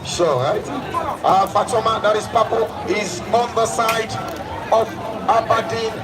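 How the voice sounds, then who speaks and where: A man with a Sierra Leonean accent commentating live on football in a halting, repetitive way. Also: high-pitched, steady tone. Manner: halting, drawn-out, repetitive delivery. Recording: distant mic, loud music and crowd. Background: loud crowd and music.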